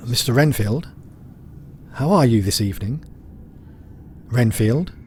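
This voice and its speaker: A man as commanding Victorian doctor, courteous, animated intonation, audio drama, faint noise. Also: medium pitch, measured pace, natural voice. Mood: firm. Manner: formal, commanding. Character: authoritative asylum doctor, courteous and resolute. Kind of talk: theatrical audio drama acting.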